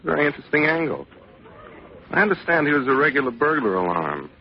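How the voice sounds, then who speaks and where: An actor playing a soft-voiced, mildly polite but insinuating police detective in a 1940s radio suspense drama. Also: male voice, fairly high pitch, very animated. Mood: bland, insinuating. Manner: mild, insinuating, unhurried politeness. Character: bland, needling, soft-spoken heavyset male police detective. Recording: muffled, noisy vintage radio broadcast.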